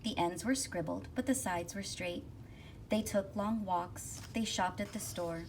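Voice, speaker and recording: A woman reading a rhyming children's picture book aloud expressively, with rhythmic, deliberate phrasing. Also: medium pitch. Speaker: school counselor reading aloud. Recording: distant mic with faint noise.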